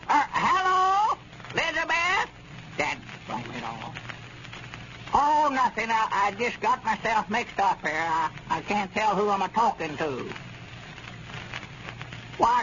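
A man playing a crotchety but soft-hearted, muddled old storekeeper with a rural Arkansas accent, in 1930s radio comedy style. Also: high voice. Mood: flustered. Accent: rural Southern US, Arkansas hill country. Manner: folksy, flustered, muddled stammering. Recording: muffled, noisy 1930s radio recording.

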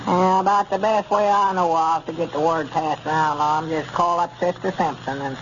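Man as excitable rural sidekick, Southern accent, high-pitched, folksy, 1930s radio comedy, faint noise. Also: normal speed, close to an everyday voice. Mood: folksy, eager. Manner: folksy backwoods talk. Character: excitable, gullible country storekeeper man, sidekick. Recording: muffled, noisy 1930s radio recording.

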